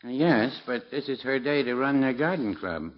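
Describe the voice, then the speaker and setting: A man plays a mild older small-town druggist in a vintage radio sitcom. He is hesitant and drily contrary, with a mid-pitched voice that bends up and down. The recording is muffled. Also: lively intonation, normal speed, natural voice. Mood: meek, evasive. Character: meek, dryly contrary older male druggist. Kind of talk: 1950s radio situation comedy acting.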